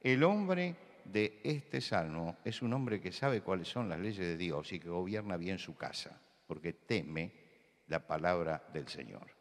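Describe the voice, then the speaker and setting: A man from Buenos Aires with a Rioplatense accent, preaching a sermon full of anecdotes, who repeats key words and rises to loud insistence. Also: sits low, animated, a little slow, big-room sound. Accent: Rioplatense Spanish. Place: church hall.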